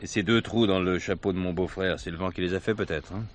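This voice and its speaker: A man's voice: sits low, fairly even intonation, fast, a little hiss or hum, close to an everyday voice. A man playing a skeptical, dry-humored police commissioner in a 1970s French drama. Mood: dry, suspicious.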